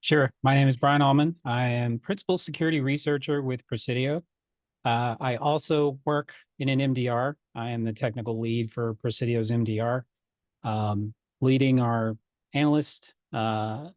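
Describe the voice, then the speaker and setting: A man's voice: mid-range voice, fairly even intonation, normal speed, no room sound. A man from Florida introducing himself as co-presenter on a webcast, brief and dryly joking. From Florida, USA. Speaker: security researcher and co-presenter.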